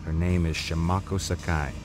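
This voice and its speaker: A man playing a gruff, streetwise getaway driver and handler in a video game, talking in a terse, informal, worldly way. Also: sits low, flat delivery, moderate pace, close to an everyday voice. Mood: low-key briefing. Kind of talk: video game cutscene acting. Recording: loud music behind the dialogue.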